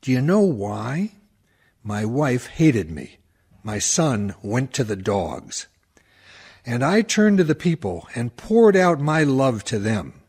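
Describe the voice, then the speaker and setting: A kindly dead town doctor, acted by a man, tells his sorrow earnestly. The voice is mid-pitched and unhurried, weary and lovelorn, in the manner of a radio play. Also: a little mellow, heavily acted. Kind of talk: dramatic monologue for radio play.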